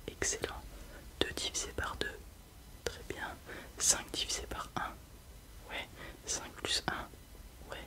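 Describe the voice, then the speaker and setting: An ASMR creator whispering softly and without voice while running a roleplay exam, giving gentle praise after every answer. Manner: soft, unvoiced whispering throughout. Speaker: ASMR creator playing an examiner. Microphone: close mic with left and right ear whispering.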